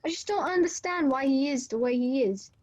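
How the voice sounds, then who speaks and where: A woman's voice: high voice, lively intonation, brisk, a little mellow. A woman playing a compassionate young family member in an amateur radio play, earnest and sympathetic. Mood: puzzled. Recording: dull video-call audio.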